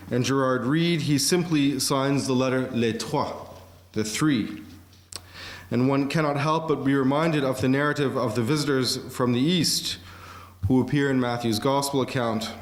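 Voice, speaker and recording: A man delivering a prepared academic conference lecture, measured, with occasional fillers. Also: mid-range voice. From Canada. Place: museum auditorium. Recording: echoey museum lecture hall.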